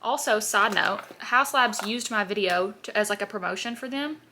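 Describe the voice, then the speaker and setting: Bright-voiced chatty young woman. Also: medium pitch, normal speed, a little hiss or hum. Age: 20s. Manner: chatty, sassy, animated asides. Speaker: beauty vlogger. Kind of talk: casual get-ready-with-me chat. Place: home, at her parents' house.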